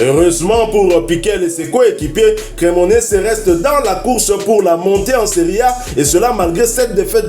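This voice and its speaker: A man with a high voice reads a football news roundup in a lilting, sing-song cadence, stopping now and then on fillers. His voice rings in a roomy, echoey space, and faint music plays underneath. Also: mellow. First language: not English. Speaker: sports news presenter. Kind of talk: read football news bulletin.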